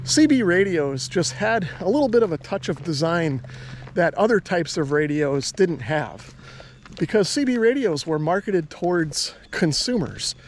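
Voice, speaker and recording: A man's voice: fairly high pitch, animated, a little slow, a little hiss or hum. An American man talking casually to viewers as a hobbyist host, explaining his points in an easygoing, conversational way. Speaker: radio hobbyist and video host.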